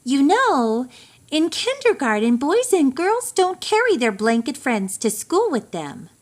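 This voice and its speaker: A gently firm mother from a children's storybook, voiced by a woman reader. She speaks in a high, lively voice and explains things to her young child in an instructive way. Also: measured pace.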